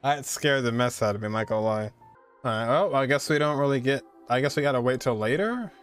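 Male game streamer, twenties, medium-pitched, informal off-the-cuff commentary with soft music in the background. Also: fast, clean tone. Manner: informal, slangy. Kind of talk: casual live reaction commentary. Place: indoor streaming setup, game audio behind.

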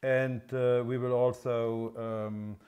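A man in his fifties with a continental European accent, a non-native English speaker explaining things off the cuff in a halting, hesitant way as a workshop presenter. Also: mid-range voice, flat delivery, darkish tone. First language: not English. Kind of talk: unscripted product explanation. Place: indoor engine workshop showroom.

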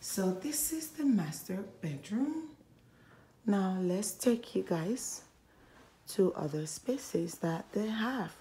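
A woman giving a casual, chatty home tour in a hushed voice, gushing with admiration as she walks through indoor rooms with a handheld camera. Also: animated, slow pace. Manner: hushed, keeping voice low. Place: indoors, walking through a furnished model home. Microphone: handheld phone or camera held by the speaker.

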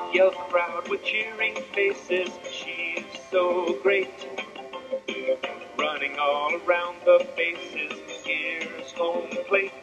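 A man singing a cheerful children's song slowly and clearly. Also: sits high. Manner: clear, slow, cheerful sing-along delivery. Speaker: singer. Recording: lo-fi old song track. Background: band music.

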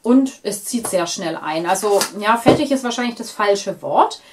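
A woman in her thirties with a cold, chatting enthusiastically as she unboxes beauty products on camera in a small bright room. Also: mid-range voice, lively intonation, normal speed, a little hiss or hum. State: stuffy, with a cold. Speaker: beauty vlogger. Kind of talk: casual unboxing chat.

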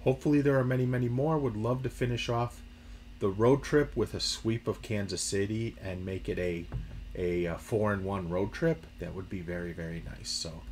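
A man's voice: lowish voice, normal speed, a little mellow, slight background noise. A middle-aged man hosting a casual baseball postgame recap from home, chatty and upbeat with lots of fillers. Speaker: baseball postgame show host. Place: home room full of memorabilia shelves.

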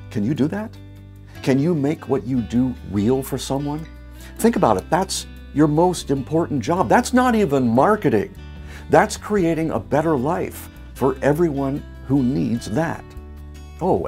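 A man in his fifties hosting a marketing show, speaking to camera in a playful, lively, gently joking way on a lapel mic in a studio. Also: mid-range voice. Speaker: marketing coach and show host. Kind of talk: scripted talk to camera. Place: bright studio set.

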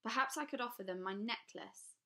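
A woman doing a deadpan, unsentimental governess. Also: on the high side, brisk, creaky. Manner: expressionless. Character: dry, plain governess, unsentimental.

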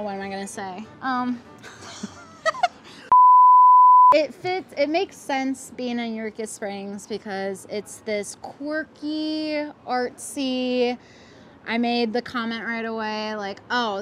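A woman's voice: a little high, huge pitch swings, slow pace, sharp tone, faint music behind. A young woman co-hosting a travel vlog outdoors, chatting casually and searching for words with lots of "like" and "um". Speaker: vlog co-host. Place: outdoors on a hillside above a small town.

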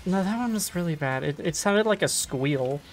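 A woman with a low voice, streaming a game and chatting casually with friends, restarting her sentences. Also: animated, moderate pace, soft background music. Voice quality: low-pitched voice. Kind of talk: casual gaming chat with friends.

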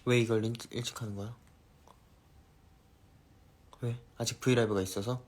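A man's voice: medium pitch, level tone, moderate pace, darkish tone. A young man in his twenties, chatting playfully and giggling on a casual live stream.

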